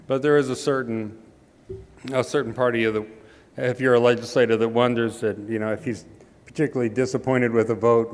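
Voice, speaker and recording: A man's voice: medium pitch, clear, resonant tone, long reverb tail. A man introducing the main speaker at an event, with dry humor and frequent hesitant fillers. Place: large hall.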